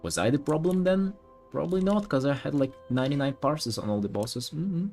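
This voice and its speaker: A man who is a native Russian speaker, chatting casually as an art streamer in a halting, rambling, slangy way with some swearing. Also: a little high, expressive, darkish tone, faint music behind. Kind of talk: casual livestream chat while painting.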